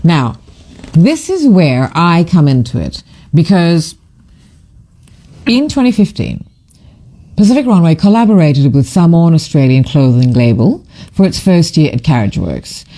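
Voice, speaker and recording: Gushing middle-aged photographer with a mellow voice. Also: medium pitch, very animated, unhurried, pauses often. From Fiji. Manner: rambling and digressive, with many fillers and restarts; gushing, enthusiastic. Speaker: photographer and author presenting a book. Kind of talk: casual live commentary to camera.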